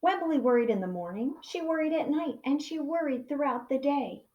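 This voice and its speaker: A woman pre-K teacher reads a storybook in a fairly high, very lively voice. She leans on key words and pauses to put questions to her young listeners. The room sounds echoey. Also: muffled recording. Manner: animated, slow dramatic emphasis, pauses to ask questions. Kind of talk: expressive children's storybook read-aloud.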